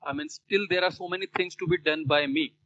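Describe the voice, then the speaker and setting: A man's voice: high-pitched, steady tone, a little fast, sharp tone, no room sound, highs cut off. A man with an Indian English accent, a native Hindi speaker, chatting thoughtfully in conversation practice with many fillers and restarts. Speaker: learner.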